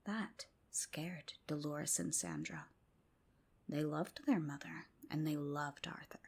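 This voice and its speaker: A woman narrating a folk tale as ASMR, with a soft, whispery, hushed bedtime delivery. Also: deep voice, sing-song, slow pace, vocal fry, breathy edge. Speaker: storyteller. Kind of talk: ASMR storytelling, scripted narration.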